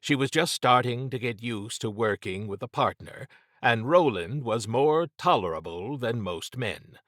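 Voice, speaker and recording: A man's voice: mid-range voice, expressive, unhurried, a bit bright, no room sound, studio quality. A man narrating scripted fiction as a dramatic audiobook reader.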